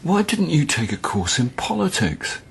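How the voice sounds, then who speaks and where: An actor playing a wry, patient middle-aged university tutor in a radio play, with an English accent and a measured, donnish, sometimes hesitant delivery. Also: male voice, a little high, sing-song, gravelly, husky, exaggerated voice. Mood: wry, encouraging.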